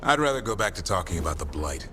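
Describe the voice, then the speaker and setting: An actor playing a blunt, wry elf warrior in a fantasy video game, with dry, plainspoken delivery. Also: male voice, sits low, very animated, fast talker, bright, forceful tone, a little hiss or hum, heavily acted.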